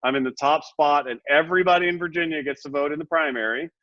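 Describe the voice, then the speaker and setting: A man's voice: fairly high pitch, fast, a bit bright, no echo. A middle-aged man, a Senate candidate, speaking earnestly and with passion as a guest, often restarting his words. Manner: impassioned, repeats and restarts words. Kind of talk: earnest interview talk. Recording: muffled dry audio.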